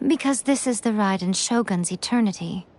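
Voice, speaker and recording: An actress playing a teasing, elegant, centuries-old fox shrine maiden in a video game, sly, languid and condescending. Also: female voice, fairly high pitch, a little slow, darkish tone, slight background noise, natural voice. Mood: calm, explanatory. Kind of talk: video game character dialogue. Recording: game music behind the voice.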